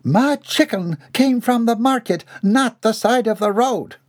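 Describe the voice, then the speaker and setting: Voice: mid-range voice, measured pace, no echo, clean studio sound, pitched above the performer's own voice, close to an everyday voice. A reader voicing a proud, fierce cook woman who speaks in a huffy, insistent way.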